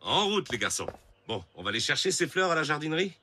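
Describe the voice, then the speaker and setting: A man playing a cheerful, brisk father in a cartoon dub. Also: fairly high pitch, very animated, pushed and bright, a little hiss or hum. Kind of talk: animated series dubbing.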